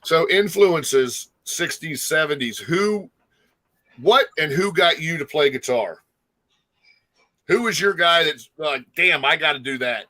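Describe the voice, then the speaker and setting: A man's voice: a little high, lively intonation, measured pace, bright, forceful tone, rough recording. A man hosting a casual music interview, talking in an informal, rambling, encouraging way. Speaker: talk show host interviewing a musician.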